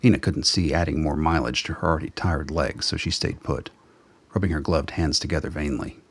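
A man's voice: rumbling low, fairly even intonation, fairly quick, breathy edge. A man narrating a scripted story for a radio play.

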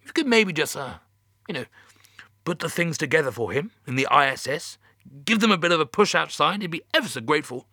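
Audio story mission chief, done by a male reader: husky, lively pitch, brisk, evasive, hesitant and condescending. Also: dry recording, studio quality, full character voice. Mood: wheedling. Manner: hesitant, wheedling, patronising. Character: evasive, brisk space mission boss, falsely cheery.

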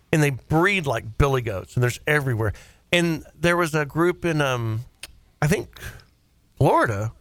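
A man's voice: mid-range voice, a bit bright, no echo. A man hosting a radio show with casual, joking banter and a teasing manner, recorded in a studio.

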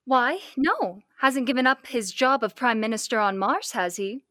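A woman's voice: on the high side, animated, moderate pace, sharp tone, no echo, clean studio sound. A woman playing a concerned, inquisitive young adventurer in an old-time radio drama, earnest and questioning. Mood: friendly, curious.

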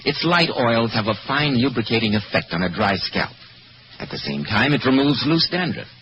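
A man's voice: mid-range voice, very animated, moderate pace. A man announcing in a polished, emphatic, scripted 1940s radio sponsor style. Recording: muffled, noisy old radio broadcast.

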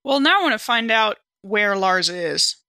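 A woman's voice: medium pitch, expressive, normal speed, sharp tone, dry recording. A woman playing a tabletop roleplaying game and chatting casually at the table.

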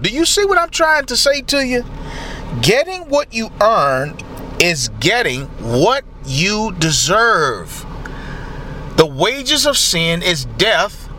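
Male preacher; slow and lively, bright tone, faint traffic behind. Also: sits high. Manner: slow, emphatic preaching with rising exclamations. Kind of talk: sermon.

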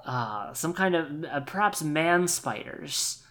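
A man improvising a tabletop game story in a halting, deliberate and playful way. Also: fairly high pitch, expressive, fairly bright tone. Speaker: player in an actual play podcast.

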